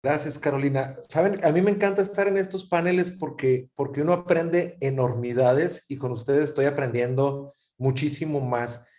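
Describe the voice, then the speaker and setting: A man's voice: a little high, normal speed, few pauses, a little mellow. A man moderating a panel discussion who speaks in a thoughtful way and often repeats words while he searches for a phrase.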